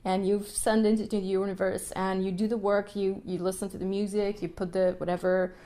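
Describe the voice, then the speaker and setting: A woman's voice: mid-range voice, little pitch movement, brisk, warm tone. A woman in her thirties from Ukraine, a native Russian speaker, talking casually on her podcast, often laughing and adding tag questions. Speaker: podcast host and music teacher. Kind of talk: casual live podcast monologue.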